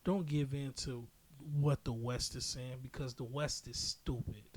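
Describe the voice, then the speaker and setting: A man's voice: mid-range voice, fairly even intonation, unhurried. A man giving casual, opinionated podcast commentary as a host, speaking bluntly and emphatically with frequent swearing.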